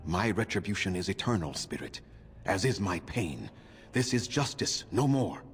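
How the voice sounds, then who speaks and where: An actor playing a vengeful old elf leader in a fantasy game, speaking gravely and formally with defiance. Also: male voice, sits low, sing-song, moderate pace, faint music behind. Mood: cold, resigned.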